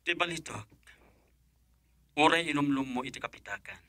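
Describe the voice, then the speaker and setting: Very bright, forceful smitten man. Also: on the high side, moderate pace, dry recording. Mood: wooing. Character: smitten professional man, earnest romantic suitor. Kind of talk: radio drama acting in Ilocano.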